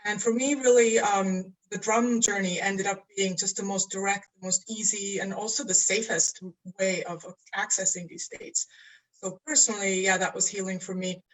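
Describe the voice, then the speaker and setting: A woman from Estonia speaks as an interview guest, earnest and unhurried, often pausing to find her words. She talks over a video call in a relaxed, conversational way. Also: medium pitch, low-quality recording. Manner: earnest, searching for words, laughs easily. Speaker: astrologer and coach guest. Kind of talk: casual interview.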